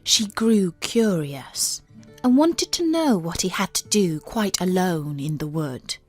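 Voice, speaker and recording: Slow-paced woman narrating a fairy tale. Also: fairly low pitch, animated, darkish tone. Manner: measured, careful storybook reading. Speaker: audiobook narrator. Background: background music.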